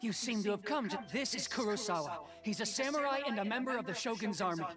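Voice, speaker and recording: A woman's voice: mid-range voice, lively intonation, quick pace, lightly acted. A woman playing a stern, formal, demanding interrogator in a fantasy video game. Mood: formal explaining. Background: game music.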